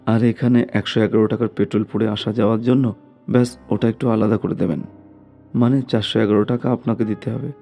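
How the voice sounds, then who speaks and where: A man voicing a brisk, money-minded family doctor in a story. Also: lowish voice, fairly even intonation, vocal fry, warm tone, soft background music. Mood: brisk, businesslike.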